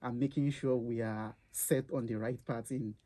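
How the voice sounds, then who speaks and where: Man, mellow and hesitant. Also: medium pitch, steady tone. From Nigeria. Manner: hesitant, many filler pauses. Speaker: student society secretary on campus radio. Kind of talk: casual chat show.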